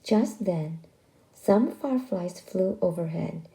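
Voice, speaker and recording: A woman reading a children's story aloud as scripted narration, expressive and deliberate in delivery. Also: fairly low pitch, low-quality recording.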